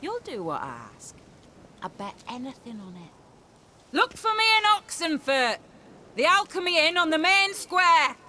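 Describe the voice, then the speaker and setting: A cocky street crook in a fantasy game, sharp and forceful. The British voice is slangy and commanding, with a slight rumble of noise behind it. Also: female voice, sing-song, normal speed, exaggerated voice. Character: pushy, cocky street criminal. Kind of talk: video game acting.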